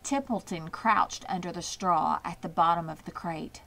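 A woman's voice: fairly low pitch, moderate pace, creaky, a bit bright. A woman narrating a children's book as a scripted read-aloud. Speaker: storybook reader.

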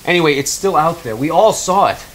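A man's voice: fairly high pitch, animated, brisk, fairly bright tone, a little hiss or hum. An actor playing an earnest father in an audio drama, insistent and pleading as he warns of danger. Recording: roomy drama recording over music.

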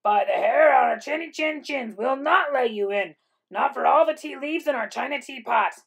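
A woman voicing the three little wolves, timid but stubborn, chanting loudly in defiance. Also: sits high, moderate pace, gravelly, husky, pitched above the performer's own voice, heavily acted. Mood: defiant.

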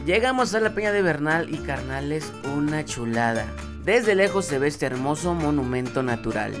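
A man's voice: a little high, lively intonation, moderate pace. A man with a Mexican accent narrating a travel vlog voice-over in an informal storytelling way. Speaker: motovlog narrator. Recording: loud guitar music over narration. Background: guitar music.

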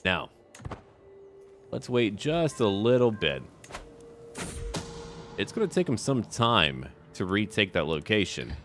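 A man's voice: on the low side, very animated, slow, pauses often, fairly bright tone. A man giving casual running commentary on a strategy game, talking to himself and to the game's characters. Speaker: gameplay video host. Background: music.

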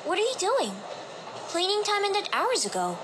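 A woman's voice: extremely high pitch, wildly swooping pitch, moderate pace, noisy background, full character voice. A woman acting a breezy, friendly acquaintance in a fan-made cartoon story. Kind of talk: acted fan-animation dialogue.

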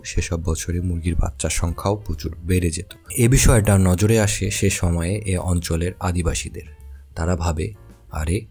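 A man narrating an educational history piece in a calm, explanatory storytelling manner, as a scripted voice-over. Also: low-pitched, creaky. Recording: voice-over with faint background music.

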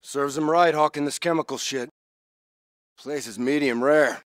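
An actor playing a hard-bitten, sardonic in terse video game battle chatter. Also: male voice, fairly high pitch, a little slow, smooth, no echo, clean studio sound, close to an everyday voice. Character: hard-bitten, sardonic American Marine soldier.

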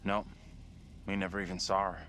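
An actor playing a kind, uneasy young college student in a horror game, speaking earnestly and casually. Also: male voice, sits low, level tone, a little fast, bright, forceful tone, slight background noise. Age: young adult. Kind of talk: video game cutscene drama.

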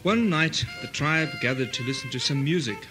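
A man's voice: a little high, expressive, normal speed, over music, close to an everyday voice. A man playing an aggrieved, pompous adventurer telling his past in a comic radio melodrama. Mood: solemn, weary.

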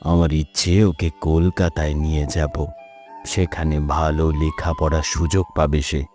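A man's voice: extremely low pitch, flat delivery, measured pace, a little mellow, soft background music. A man playing a caring village father in a cartoon, speaking politely and earnestly. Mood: thoughtful.

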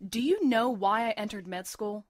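An actress in an audio drama playing a defiant, sarcastic, fiercely protective young medical student, blunt and emotionally intense. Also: female voice, medium pitch, lively intonation, moderate pace, close to an everyday voice. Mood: earnest, self-mocking. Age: young adult.

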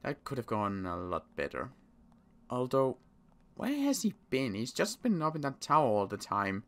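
A man playing a worried zombie apocalypse survivor in an improvised game roleplay, muttering to himself and repeating words haltingly. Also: mid-range voice, wildly swooping pitch. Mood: exasperated.

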